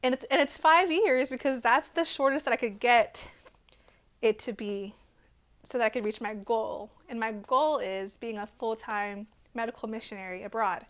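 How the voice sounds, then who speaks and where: A woman's voice: on the high side, fairly even intonation, moderate pace, a bit bright. A woman in her twenties with an American accent, giving a personal testimony to camera in an earnest, hesitant way. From Texas, USA. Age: 20s.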